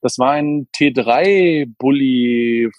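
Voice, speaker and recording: A man's voice: mid-range voice, slow, darkish tone, dry recording, muffled recording. A man, chatting as a podcast guest, rambling with lots of fillers and restarts. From Berlin, Germany. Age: 30s. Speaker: podcast guest, camper van renter. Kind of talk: casual interview chat.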